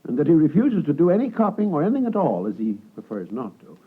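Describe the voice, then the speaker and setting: A man's voice: on the high side, wildly swooping pitch, fast, slightly rough, full character voice. An actor playing a worried, deferential male law clerk in 1950s radio drama, hesitant, earnest and obsequious. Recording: thin, phone-like 1950s radio broadcast.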